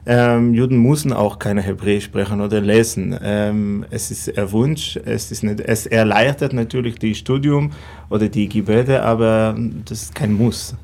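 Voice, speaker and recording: A man's voice: lowish voice, steady tone, normal speed, vocal fry, darkish tone. A man from Israel whose first language is Hebrew, speaking German with an Israeli accent in an interview, hesitant with many fillers and non-native phrasing. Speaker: rabbi. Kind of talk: interview answers.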